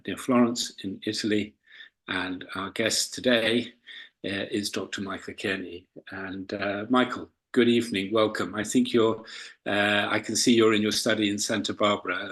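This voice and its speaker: A man hosting a live online interview over a video call, hesitant and often rephrasing himself mid-sentence, with a husky voice. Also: lowish voice, flat delivery, moderate pace, a bit bright. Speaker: interviewer and host of an online forum.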